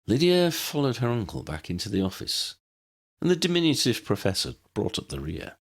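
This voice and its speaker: A man narrating a story from a script in an expressive, dramatic storytelling manner. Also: medium pitch, brisk. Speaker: audiobook narrator reading fan fiction.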